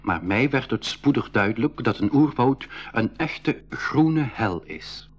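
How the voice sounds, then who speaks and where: An actor narrating a radio play for schoolchildren as a man recounting his jungle adventure, with a husky voice and descriptive delivery. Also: medium pitch, expressive, slow pace, heavily acted. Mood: grave storytelling. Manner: descriptive storyteller addressing young listeners. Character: male expedition member recounting a jungle adventure. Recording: dull 1980s radio recording.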